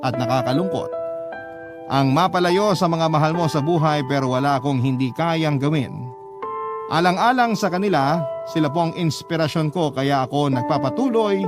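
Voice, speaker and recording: A man's voice: a little high, normal speed, over music. A man working as a radio host, reading a listener's letter as dramatic scripted narration.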